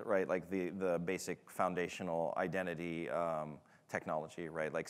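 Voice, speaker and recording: A man's voice: sits low, clean tone. A man answering audience questions at a tech conference, in a loose, casual manner with a lot of fillers and restarts. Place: large conference hall. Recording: long hall reverb.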